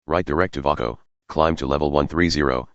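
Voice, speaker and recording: A man's voice: extremely low pitch, brisk, fairly bright tone, no room sound. A man giving clipped aviation phraseology over an air traffic radio transmission. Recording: dull, band-limited air traffic radio.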